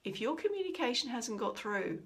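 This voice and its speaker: Animated woman giving a communication talk. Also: a little high, fairly quick. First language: English. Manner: animated storytelling, acting out quoted speech. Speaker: communication trainer. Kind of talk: instructional talk.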